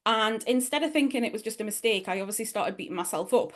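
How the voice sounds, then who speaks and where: A woman's voice: a little high, animated, fast. A middle-aged woman from northeast England with a strong Geordie accent, giving a chatty workshop talk to an audience, full of asides and fillers. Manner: chatty, self-deprecating, many fillers and asides.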